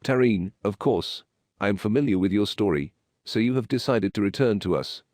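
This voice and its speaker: A man playing a dignified, mystical alien high priest in a video game, speaking in a stately, ceremonious and deliberate manner. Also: darkish tone, dry recording, clean studio sound, lightly acted. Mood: grave.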